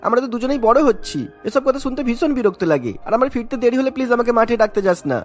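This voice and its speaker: A woman's voice: on the high side, animated, very fast, soft background music, natural voice. A woman voicing a sulky young boy, a whiny little brother in a cartoon. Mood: annoyed, sulky. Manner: whiny, complaining. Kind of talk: cartoon dialogue acting.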